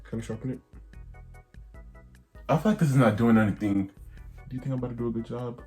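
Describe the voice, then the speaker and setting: A man's voice: medium pitch, quick pace, darkish tone, faint music behind. A man doing his sister's makeup while asking her mock-interview questions in a playful, teasing way. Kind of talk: casual chat.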